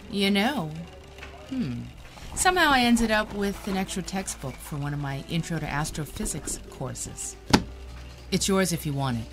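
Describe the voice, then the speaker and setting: An actress playing a kindly, slightly awkward physics professor in a natural audio drama. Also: female voice, medium pitch, lively intonation, moderate pace, over music, close to an everyday voice. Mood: gentle.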